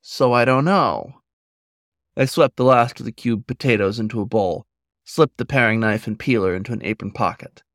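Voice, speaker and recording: Guy reading his own short story out loud, scripted narration, very dry recording. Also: fairly low pitch, a little fast, no echo.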